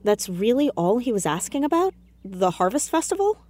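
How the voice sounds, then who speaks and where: A woman's voice: a little high, big pitch swings, moderate pace, a little mellow. A woman playing a stubborn, inquisitive teenage girl sleuth in an audio drama, earnest and probing in a casual, argumentative way.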